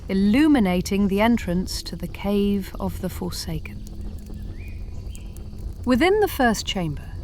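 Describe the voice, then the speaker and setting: Female storyteller for fantasy ASMR; somewhat low, soft, unhurried, occasionally whispered, over noticeable ambience. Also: background noise. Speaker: narrator.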